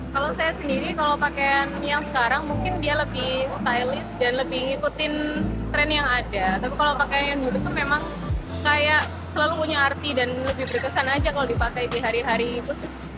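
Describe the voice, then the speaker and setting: A woman's voice: sits high, steady tone, a little fast, loud music behind the voice. A woman in her twenties with an East Javanese Indonesian accent, giving casual answers as an interviewee. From Sidoarjo, East Java, Indonesia. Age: 20s.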